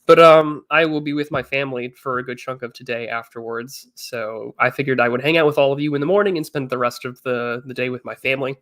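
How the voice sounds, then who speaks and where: Bright-voiced chatty man in his twenties. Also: mid-range voice, a little fast, few pauses. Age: 20s. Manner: chatty, reads chat messages aloud. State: slightly congested. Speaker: streamer. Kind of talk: casual livestream chat.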